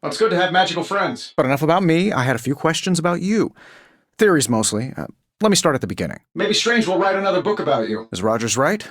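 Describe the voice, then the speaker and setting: An actor playing a cocky genius billionaire superhero in a video game, with an American accent and a glib, sarcastic, wry delivery. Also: male voice, on the high side, very animated, fast talker, a bit bright, dry recording, clean studio sound.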